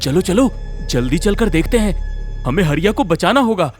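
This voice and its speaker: A man playing a concerned village man in an animated story, with an urgent, dramatic delivery. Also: high-pitched, normal speed, faint music behind. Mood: worried, urgent.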